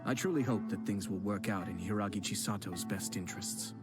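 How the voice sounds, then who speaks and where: Here is a polished young nobleman from a fantasy game, played by a man. His voice sits a little low and stays calm and measured, confident without any push. Game music plays clearly behind him. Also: natural voice. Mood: composed. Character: composed young male noble strategist. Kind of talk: game voice acting.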